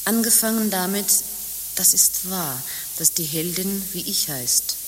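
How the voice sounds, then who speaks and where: An actress playing a bitter, world-weary former harlot turned nun in a radio play, with theatrical speech both ornate and coarse. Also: female voice, fairly low pitch. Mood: musing. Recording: hissy recording with long reverb.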